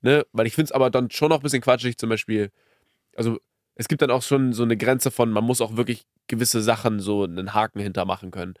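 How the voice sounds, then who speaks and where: A man's voice: mid-range voice, fairly even intonation, fast, no echo, studio quality. A man in his early twenties chatting casually as a podcast co-host, using youthful slang peppered with English loanwords. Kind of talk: casual conversational podcast chat.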